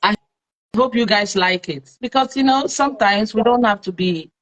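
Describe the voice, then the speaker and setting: A woman's voice: mid-range voice, normal speed, poor audio. Nigerian woman, live-stream host, chatty, lots of fillers. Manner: chatty, warm to followers, many fillers. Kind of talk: live-stream talk show.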